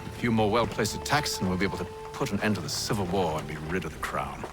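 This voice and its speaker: A man's voice: sits low, lively intonation, fast. An actor playing a dry, arrogant middle-aged conspirator in a video game, with authority in his voice. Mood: dry, impatient. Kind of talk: game cutscene dialogue. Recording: loud music over the voice.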